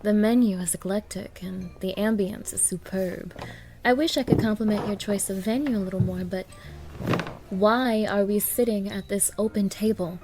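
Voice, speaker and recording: A woman's voice: mid-range voice, steady tone, moderate pace, over music, close to an everyday voice. A woman playing a haughty, demanding young gold digger on a date, scolding and condescending in an audio roleplay. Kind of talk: ASMR-style dramatic roleplay.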